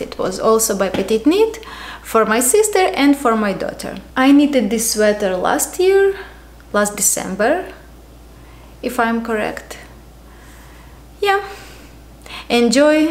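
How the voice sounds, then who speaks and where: A woman in her thirties from Ukraine with a Ukrainian accent, hosting a casual knitting podcast at home, talking unhurriedly with frequent ums and pauses. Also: a little high. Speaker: podcast host. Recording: echoey room.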